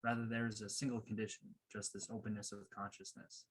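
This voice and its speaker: A man leading a guided meditation as a coach, giving measured, instructive directions in a husky voice. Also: fairly low pitch, flat delivery, a little hiss or hum, poor audio.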